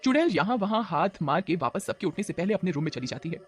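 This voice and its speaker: A man's voice: high voice, big pitch swings, fast, faint music behind. A man narrating a horror story as a dramatic storyteller.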